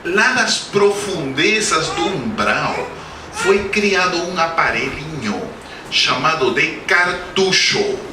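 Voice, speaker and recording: A man's voice: fairly high pitch, lively intonation, slow. Man with a Spanish accent lecturing. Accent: Spanish-speaking accent in Portuguese. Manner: jokey anecdotes, asks the audience questions. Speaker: guest speaker at a spiritist center. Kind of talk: humorous spiritist lecture. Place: lecture hall. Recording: roomy hall, distant mic, background noise.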